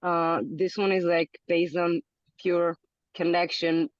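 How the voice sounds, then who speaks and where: A woman's voice: on the low side, level tone, slow pace, no echo, dull audio. A woman from Hungary, a podcast guest in casual interview talk, searching for words and repeating them as she thinks.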